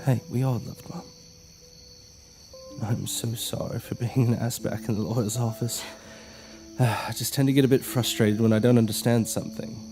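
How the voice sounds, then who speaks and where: A man acting naturally in an audio drama as a blunt, grudging little brother, gruff and casual. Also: mid-range voice, steady tone, moderate pace, music in the background, close to an everyday voice. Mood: earnest, apologetic.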